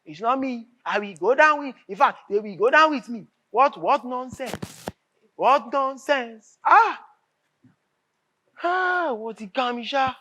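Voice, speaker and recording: A man's voice: very high voice, lively intonation, slow, noisy background, full character voice. A man playing a blustering, flustered Nigerian senator on stage, stammering and repeating himself as he fumbles big words. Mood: indignant, threatening. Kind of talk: stage play acting.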